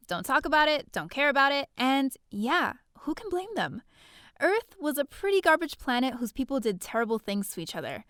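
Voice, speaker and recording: A woman's voice: high voice, a bit bright, dry recording, studio quality. A woman playing a chatty, bookish young heroine in a space-opera audio drama, theatrical and sardonic, full of casual asides. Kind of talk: first-person scripted audio drama narration.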